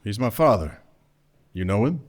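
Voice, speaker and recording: A man's voice: on the low side, sing-song, normal speed. A man playing a suave, guarded gangster's son in a noir radio play, talking casually with cagey hesitations. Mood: relenting.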